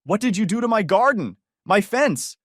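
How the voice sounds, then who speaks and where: A woman's voice: expressive, moderate pace, dry recording, clean studio sound, natural voice. A man voicing a furious middle-aged woman neighbor who rants indignantly over her ruined garden. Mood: outraged. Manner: shrill, indignant ranting.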